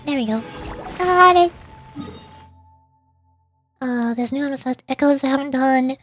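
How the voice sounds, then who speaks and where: A woman's voice: sits high, a little slow, fairly bright tone. A woman livestreaming a video game, chatting casually in a playful, rambling way and muttering little asides to herself. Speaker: livestream host, VTuber playing a video game. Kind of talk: casual gaming stream chat. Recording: music behind the voice.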